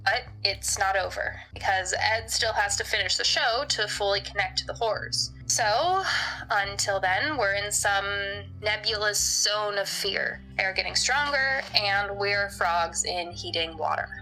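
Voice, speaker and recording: A woman playing a blunt, analytical young woman in an audio drama, speaking deliberately. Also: mid-range voice, bright, forceful tone, soft background music, poor audio. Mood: serious explaining.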